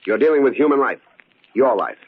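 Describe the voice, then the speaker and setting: An actor playing a cold, calculating murderer in a radio thriller, speaking in a taunting, mock-polite way with a husky voice. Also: male voice, medium pitch, expressive, fairly quick, a little hiss or hum, natural voice. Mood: menacing, gloating. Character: cold, gloating male murderer, a resentful long-serving employee. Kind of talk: old-time radio thriller acting. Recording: dull, lo-fi radio drama recording.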